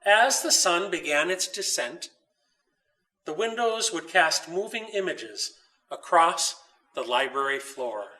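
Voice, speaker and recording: Male storybook reader, higher-pitched and crisp-edged bright tone, deliberate phrasing, recorded a little off the mic. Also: expressive, recorded from a distance, low-quality recording. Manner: measured, deliberate storytelling with pauses. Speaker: author reading own story. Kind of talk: children's storybook read aloud. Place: old dairy barn.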